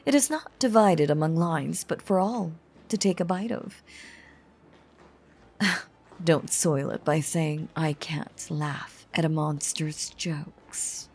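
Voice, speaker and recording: An elegant, ancient vampire lady, played by a woman, speaks low and slowly, every word cleanly shaded with a husky edge. She is teasing and coaxing, with theatrical, courtly phrasing. It is a roleplay audio drama with soft music underneath. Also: exaggerated voice. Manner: theatrical, archaic, velvety formal phrasing.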